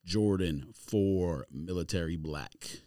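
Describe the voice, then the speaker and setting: A man's voice: deep voice, takes it slowly, dark tone, no room sound, studio quality. A man co-hosting a casual sneaker podcast with joking, slangy banter. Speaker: podcast co-host.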